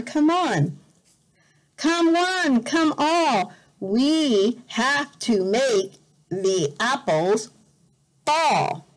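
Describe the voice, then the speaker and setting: Very slow, animated woman reading to children. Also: high voice. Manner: animated, deliberate, repeats words simply for learners. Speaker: volunteer teacher reading a picture book to young learners. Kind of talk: slow, expressive children's read-aloud with simple explanations. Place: indoor room at home.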